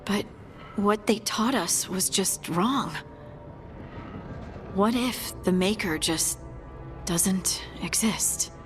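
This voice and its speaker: An actress playing a sincere, troubled believer in a fantasy video game, speaking hesitantly and earnestly. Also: female voice, mid-range voice, very slow, long pauses, faint music behind. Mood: shaken. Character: troubled, sincere female believer doubting her faith.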